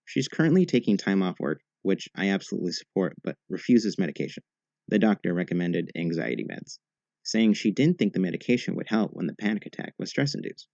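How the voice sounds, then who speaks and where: A man narrating online posts in a steady, even reading voice. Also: deep voice, fairly quick, creaky, no room sound. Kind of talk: scripted narration. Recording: slightly dull, dry recording.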